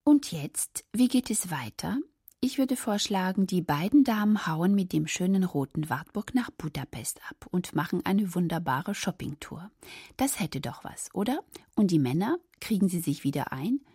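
A woman's voice: lowish voice, animated, normal speed, dark tone, husky, no room sound, clean studio sound. A woman presenting on radio with conversational, musing commentary and rhetorical questions.

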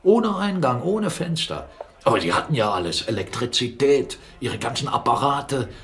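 An actor playing a forceful, excitable captain and mill owner in a radio play, mocking and animated, swinging between murmurs and exclamations. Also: male voice, medium pitch, normal speed, slight background noise. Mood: musing, knowing. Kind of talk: German radio play dialogue.

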